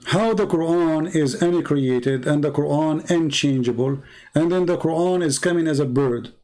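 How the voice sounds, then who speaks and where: A man's voice: on the high side, moderate pace, mellow. Arabic-accented male commentator, non-native English, raised voice, combative and mocking. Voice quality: broken, non-native grammar. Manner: argumentative, sarcastic rhetorical questions. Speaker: religious debate commentator. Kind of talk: polemical commentary.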